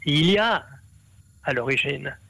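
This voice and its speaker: Man talking slow and halting, lots of ums, gravelly voice, dry studio sound. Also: fairly high pitch, expressive, sharp tone, no echo, poor audio. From half Brazilian. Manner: hesitant, many fillers and restarts. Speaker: interview guest, scholar. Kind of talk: explanatory interview talk.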